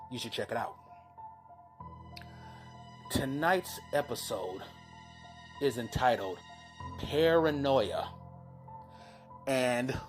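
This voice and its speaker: A man hosting a horror radio show, giving a scripted introduction in a drawn-out delivery. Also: medium pitch. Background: music.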